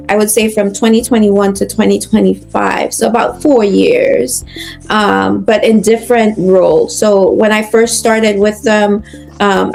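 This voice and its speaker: Gravelly-voiced woman doctor, reflective. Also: mid-range voice, a little mellow, poor audio. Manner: reflective, many fillers and restarts. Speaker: physician guest. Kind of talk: conversational interview.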